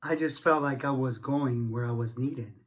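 A man's voice: medium pitch, normal speed. A middle-aged man giving a reflective personal narrative.

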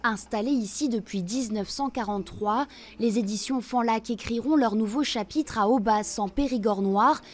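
A woman reporter reading a scripted news voice-over in a measured, even way. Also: fairly high pitch.

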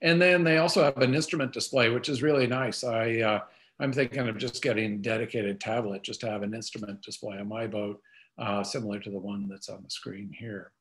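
A man's voice: lowish voice, steady tone, a little fast, fairly bright tone. An older man giving an informal, explanatory webinar product demonstration over a video call. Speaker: presenter.

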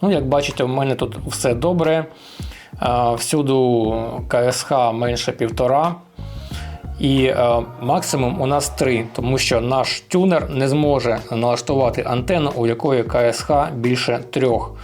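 A man's voice: mid-range voice, moderate pace, a little mellow, soft background music. A man explaining equipment settings step by step in a casual hands-on tutorial, often using cute diminutive words. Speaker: amateur radio vlogger.

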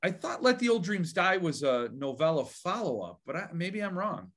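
A man hosting a book channel interview, enthusiastic and halting, full of fillers and restarts. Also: high voice, very animated, fairly bright tone. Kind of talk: casual interview conversation.

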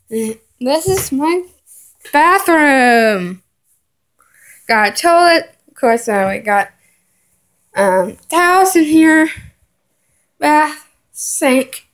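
Woman, high voice, very slow, halting with fillers, lists items one by one, home tour vlog, handheld phone indoors. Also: sing-song. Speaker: vlogger giving a home tour. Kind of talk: casual home tour narration. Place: house rooms, indoors. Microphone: handheld phone camera, filming while walking.

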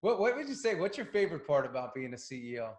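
A man's voice: fairly high pitch, big pitch swings, quick pace. A man in his forties who hosts the show, asking questions and affirming his guest in an easygoing way over a video call. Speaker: podcast host, coach. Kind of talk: conversational interview. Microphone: video call webcam. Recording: echoey room.

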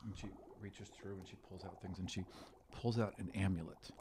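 A man's voice: low-pitched, steady tone, brisk, dark tone, slight background noise. A man in his thirties improvising narration as game master of a tabletop game, close on a studio microphone. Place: small studio room indoors. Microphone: microphone on stand, close.

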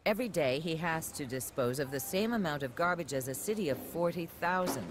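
A man doing measured, scripted narration for a documentary voice-over. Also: fairly high pitch, animated, noisy background. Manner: measured, explanatory. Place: studio voice-over. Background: music.

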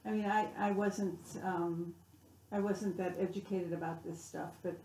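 A woman's voice: lowish voice, steady tone, unhurried, mellow. A woman speaking informally as a nurse recounting her experience in a seminar. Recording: distant, echoey room with faint noise.

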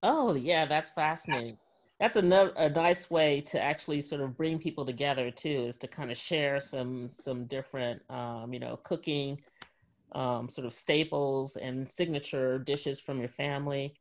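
A woman's voice: rumbling low, moderate pace. Chatty woman hosting a video panel. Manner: chatty, many fillers, laughs. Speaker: moderator. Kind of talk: casual panel discussion. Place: home room, indoors. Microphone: video call webcam.

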